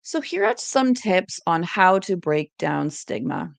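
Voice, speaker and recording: Gravelly-voiced woman presenting. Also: fairly low pitch, expressive, a little slow, dry recording. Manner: scripted, encouraging, list-like delivery. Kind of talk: educational presentation.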